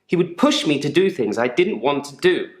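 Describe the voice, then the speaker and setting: A man's voice: a little high, very animated, normal speed. A man playing a troubled gravedigger in therapy for a video game, speaking in a guarded, dryly sardonic, articulate way. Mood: guarded, bitter. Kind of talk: naturalistic acted monologue in therapy session. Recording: echoey room.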